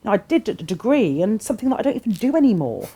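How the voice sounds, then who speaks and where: A woman's voice: mid-range voice, big pitch swings, rapid-fire, mellow, husky. A middle-aged woman, a cabaret performer, talking frankly and animatedly as a guest in a small studio interview. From Britain. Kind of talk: candid interview chat. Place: small studio set, seated on sofa.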